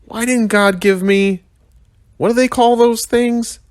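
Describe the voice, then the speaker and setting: A man voicing a grumpy, self-pitying big rhino in a storybook, muttering and halting as he searches for words. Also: very high voice, steady tone, no room sound, studio quality, voice pushed up. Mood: whiny, searching.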